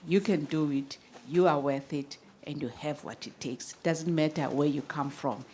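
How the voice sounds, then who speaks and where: South african lady, low voice, cheering people on at a ceremony, bit of background noise. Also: normal speed, a little mellow. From Eastern Cape, South Africa. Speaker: presenter giving thanks and honours. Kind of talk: unscripted ceremony speech. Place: live event venue. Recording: clearly noisy live event recording.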